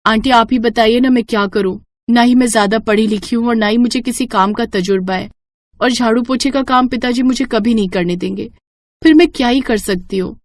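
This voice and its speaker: A woman's voice: a little high, brisk, no room sound, natural voice. A woman voicing a dutiful, worried young village daughter in an earnest, respectful cartoon story voice. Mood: troubled, pleading.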